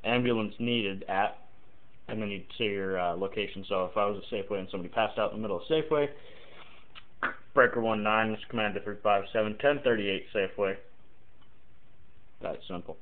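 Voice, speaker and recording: A man giving an informal, halting tutorial, thinking aloud as he explains and demonstrates example radio calls. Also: fairly low pitch. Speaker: amateur CB radio tutorial maker. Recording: muffled, tinny phone-like lo-fi audio.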